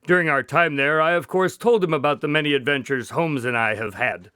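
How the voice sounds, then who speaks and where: Genial, bright-voiced doctor. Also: male voice, fairly high pitch, fairly quick, clean tone, no room sound, studio quality. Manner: polite, wry, conversational. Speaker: storyteller and narrator. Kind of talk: modern radio drama acting.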